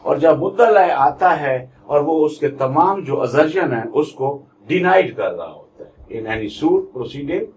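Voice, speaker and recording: Slow-paced Pakistani man teaching law. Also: on the high side, animated. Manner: didactic, reads definitions out word by word then explains. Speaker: law lecturer. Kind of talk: explanatory law lecture mixing Urdu and English.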